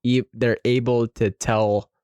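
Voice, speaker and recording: A man's voice: medium pitch, a little slow, dry recording, studio quality. Laid-back young male podcast host. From Philippines. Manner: relaxed, frequent "yeah" backchannels. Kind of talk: casual conversational interview.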